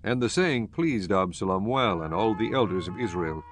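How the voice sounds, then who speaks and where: A man giving solemn, measured narration for a scripted scripture audiobook. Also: fairly low pitch, animated, faint music behind.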